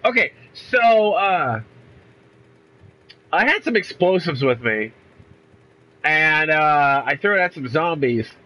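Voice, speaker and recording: A man's voice: fairly high pitch, expressive, slow pace, pauses often, bright, forceful tone, rough recording. A young man with a congested voice from a cold, chatting casually and rambling through a let's play from a room at home. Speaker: gaming YouTuber.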